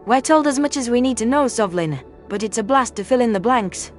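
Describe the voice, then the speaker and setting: A man's voice: very high-pitched, expressive, fairly quick, faint music behind, voice pushed up. A man voicing a cocky human woman soldier in a sci-fi audio drama. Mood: cocky, gleeful. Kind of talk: audio drama character dialogue.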